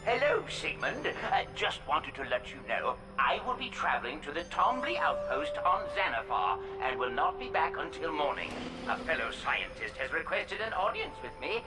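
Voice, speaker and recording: A man's voice: a little high, sing-song, normal speed, hardly any pauses, over loud music, low-quality recording. A man playing a cheerful, doddering elderly alien scientist in a video game cutscene, theatrical, bumbling and whimsical. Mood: chatty.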